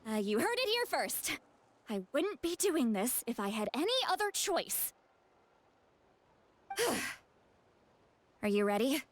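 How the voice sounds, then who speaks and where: Proud, stubborn high-voiced young woman. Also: sing-song, slow, long pauses, no echo, full character voice. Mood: reluctant. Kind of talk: video game voice acting, dramatic dialogue.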